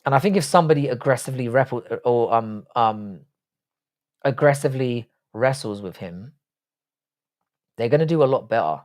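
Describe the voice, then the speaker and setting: A man's voice: mid-range voice, lively intonation, normal speed, long pauses, a little mellow. A man in his forties with a South London accent, hosting a casual live stream, rambling, swearing freely and answering viewers' chat. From South London, England. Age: 40s.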